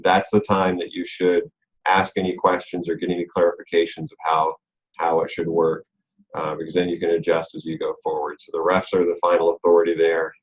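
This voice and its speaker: Man, American accent, low-pitched voice, informal instructional talk, explanatory coach, dull lo-fi call recording. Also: monotone, fairly quick. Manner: conversational, explanatory, talks through rules step by step. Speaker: robotics coach leading a coaches conference call. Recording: dull, lo-fi conference call audio.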